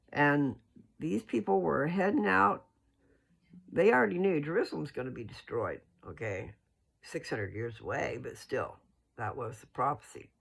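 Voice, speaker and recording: Gravelly, creaky voice, informal and folksy. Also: medium pitch. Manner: informal, folksy, checks in with "okay?". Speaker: host reading scripture aloud and explaining it. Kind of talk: scripture read aloud with casual, rambling commentary.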